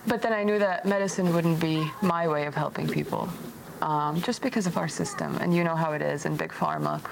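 A woman's voice: sits low, a little fast. A young woman from Syria talking openly and reflectively as a guest in a personal conversation, with an easy giggle. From Damascus, Syria. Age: young adult. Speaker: podcast guest, medical device engineer. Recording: clear background noise, ambient sound.

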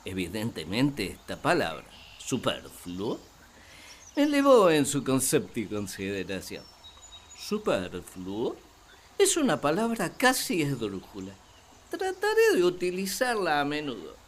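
A woman's voice: wildly swooping pitch, a little hiss or hum, heavily acted. Wildly swooping, grumpy naive first man. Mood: pompous, musing. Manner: exclamatory, theatrical, swinging from shrill outbursts to slow pompous musing. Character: Adam, grumpy naive first man, bemused by his companion. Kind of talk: radio play acting.

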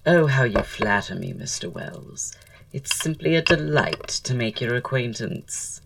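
An actor playing a witty, flirtatious poet and dandy in an audio drama, speaking in florid, arch, self-assured period style. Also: male voice, medium pitch, lively intonation, a little slow, vocal fry, a bit bright, recorded from a distance. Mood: charmed, flattering. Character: languid, witty, flirtatious male poet-playwright dandy, proud.

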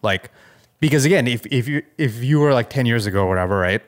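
A man's voice: medium pitch, expressive, fast. Casual male podcast co-host, hesitant and rambling.

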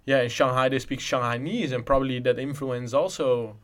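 A man's voice: medium pitch, a little fast. Dutch man chatting in loose, rambling English. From Netherlands. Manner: rambling, many restarts and "in a sense". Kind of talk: casual conversation.

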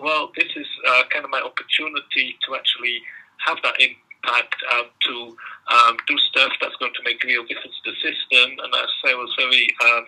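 A man's voice: medium pitch, sharp tone, poor audio. A middle-aged man from Belgium with a Belgian accent, answering interview questions in a hesitant way with many ums. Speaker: guest researcher.

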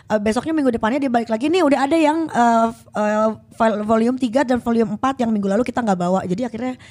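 Fast-talking, higher-voiced woman. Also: darkish tone. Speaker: podcast co-host. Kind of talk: casual nostalgic chat.

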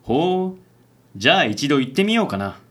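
Man acting a suave, animated handsome gentleman. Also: fairly high pitch, normal speed. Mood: suave, assured. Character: suave, affected, good-natured handsome company president. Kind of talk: radio drama acting.

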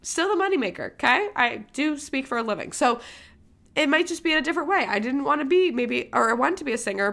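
A woman's voice: high voice, a bit bright. A young woman from Michigan hosting a podcast, talking candidly and emphatically in a casual motivational monologue, swearing freely. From Michigan, USA. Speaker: podcast host.

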